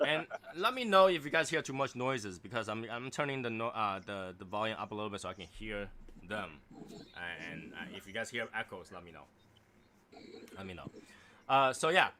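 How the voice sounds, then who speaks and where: A man's voice: fairly low pitch, expressive, moderate pace, pushed and bright. A man hosting a casual livestream chat, rambling and teasing his guests with a self-mocking humor. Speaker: livestream host.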